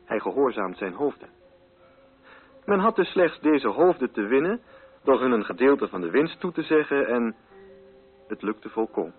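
A man reading literary narration for a radio play in a measured, formal and carefully articulated way. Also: medium pitch, very animated, slightly rough, phone-quality sound, faint music behind.

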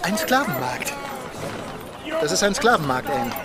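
An actor playing a protective, gentle gentleman father talking to his young daughter in a historical radio play. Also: fairly high pitch, big pitch swings, a little slow, loud music behind the voice. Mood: evasive. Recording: loud busy outdoor crowd and street noise.

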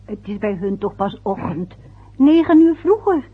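A woman's voice: mid-range voice, very animated, moderate pace, slightly rough. An actress playing a patient, soothing woman in a family radio drama, restrained and placating. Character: patient, soothing family member of a grumbling old man. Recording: muffled lo-fi old radio play.

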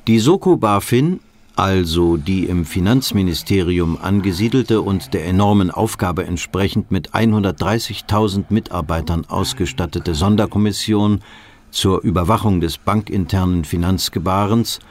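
An actor playing a sober documentary-like narrator, reading scripted narration in a radio play. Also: male voice, low voice, normal speed. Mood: matter-of-fact.

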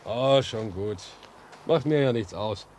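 An actor in a German radio drama playing a folksy, helpful railway line inspector who likes to chat and caution. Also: male voice, fairly low pitch, expressive, slow, darkish tone. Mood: folksy, obliging. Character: chatty, obliging railway line inspector guiding a stranger. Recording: noisy old radio play recording.

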